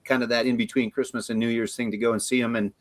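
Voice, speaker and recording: A Canadian man hosting a show, chatting casually in a relaxed, rambling way. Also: medium pitch, quick pace, no room sound. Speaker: show host. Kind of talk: casual chat.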